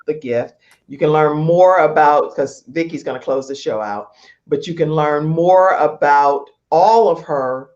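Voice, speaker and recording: Woman, lowish pitch, animated show host, emphatic repetition, conversational, muffled lo-fi sound. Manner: effusive, emphatic, repeats phrases for emphasis.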